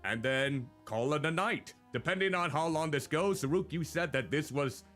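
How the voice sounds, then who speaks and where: A man co-hosting a live stream in casual chat, with a naturally loud voice and a rambling, self-deprecating way of talking. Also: on the high side, normal speed, soft background music.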